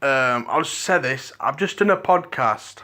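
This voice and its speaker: A man with a Liverpool accent hosting a rambling solo podcast, his voice dry and croaky, restarting his sentences again and again. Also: mid-range voice, expressive, measured pace, sharp tone. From England. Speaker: podcast host.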